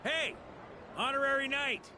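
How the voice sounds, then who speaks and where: A man's voice: extremely high pitch, measured pace, background noise. A man voicing a breezy, playful young adventurer in an anime game, with a casual, chirpy delivery. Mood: cheerful.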